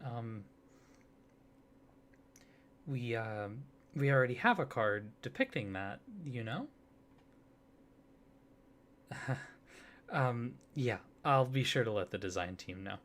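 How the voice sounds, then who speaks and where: A man doing a soft-spoken ASMR roleplay, hesitant and flustered, with many fillers, restarts and awkward apologies. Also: mid-range voice, animated, slow, long pauses.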